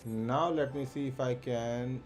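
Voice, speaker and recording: Slow, hesitant man thinking aloud. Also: medium pitch, steady tone. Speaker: live streamer. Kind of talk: casual gameplay commentary. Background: faint game music.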